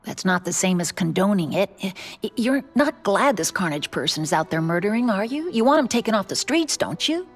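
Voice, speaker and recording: An actress playing a caring, gently firm middle-aged widowed aunt in a video game, speaking earnestly and reassuringly. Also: female voice, medium pitch, sing-song, brisk, hardly any pauses. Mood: concerned.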